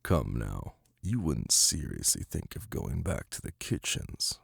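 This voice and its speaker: A man's voice: extremely low pitch, very animated, unhurried, vocal fry, breathy edge, no room sound, clean studio sound, heavily acted. A man playing a commanding, seductive demon prince in an ASMR fantasy roleplay, speaking in a silky, formal and flirtatious way. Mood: coaxing, possessive. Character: commanding, seductive male demon prince, doting yet imperious.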